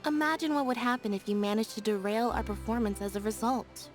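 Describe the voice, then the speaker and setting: A woman playing an aloof, dry young magician's assistant in a video game, with a deadpan, matter-of-fact delivery. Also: fairly high pitch, a little fast, faint music behind, natural voice. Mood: dryly scolding. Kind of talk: video game character acting.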